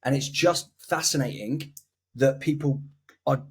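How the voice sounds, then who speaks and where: A man with a deep voice telling a self-deprecating anecdote in casual podcast chat. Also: measured pace, breathy edge. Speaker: podcast co-host.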